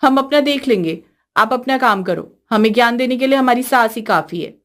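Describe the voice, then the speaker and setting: A woman voicing a young, sharp-tongued, complaining daughter-in-law in a cartoon story, whiny and sassy. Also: on the high side, animated, normal speed. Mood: snappy.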